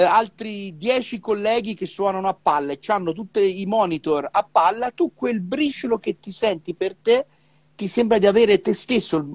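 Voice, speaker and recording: A man's voice: high voice, darkish tone, no room sound. A middle-aged man chatting casually as a trumpeter on a video call, often repeating syllables as he searches for words. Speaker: professional trumpeter. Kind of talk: casual conversation about music practice. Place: indoor room, remote video call. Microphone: wired earphone mic on a video call.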